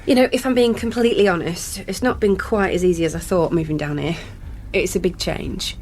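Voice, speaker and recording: A woman's voice: fairly low pitch, a little fast, a little mellow, slight background noise, lightly acted. An actress playing a candid, kindly, slightly flustered young British woman in a radio sitcom, rambling and wry. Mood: hesitant, confiding. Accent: British English.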